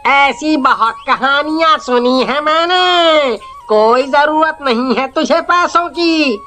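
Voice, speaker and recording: A dismissive passerby from an animated story, played by a woman. The voice is high and piercing, with scornful words drawn out slowly over light music. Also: full character voice.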